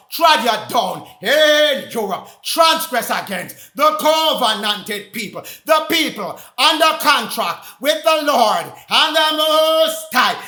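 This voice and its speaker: A man preaching in a loud, sing-song shout, firing off rhetorical questions. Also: very high voice, echoey room.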